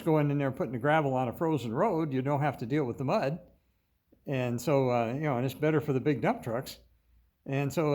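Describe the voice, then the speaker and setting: An older American man with a slightly high voice for a man talks casually to his viewers. He tells his story in a folksy, rambling way, stopping often mid-sentence before picking up the thread again. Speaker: host of a paranormal viewer-story channel.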